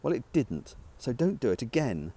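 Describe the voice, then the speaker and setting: A man's voice: medium pitch, very animated, moderate pace, dark tone, husky. A man voicing an irritable, stressed man who scolds a teammate in an exasperated way, in an audiobook. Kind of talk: audiobook character dialogue.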